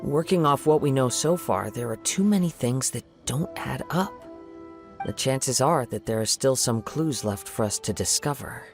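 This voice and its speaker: An actor playing a thoughtful young wandering samurai in a video game, a man speaking in a measured, formal and calm manner. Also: mid-range voice, natural voice. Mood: pensive. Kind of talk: scripted video game character dialogue. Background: game music.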